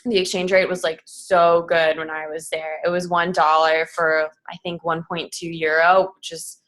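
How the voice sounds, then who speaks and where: A woman's voice: low voice, little pitch movement, a bit bright. A nineteen-year-old woman answering questions on a student panel, chatty and upbeat. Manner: chatty, upbeat, quick asides. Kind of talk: casual Q&A answers.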